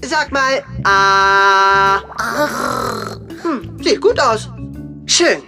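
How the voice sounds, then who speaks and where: A woman voicing a small, caring, anxious young male penguin medic in a children's cartoon audio drama, gentle and earnest. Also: mid-range voice, expressive, very slow, over music. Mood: gentle, reassuring.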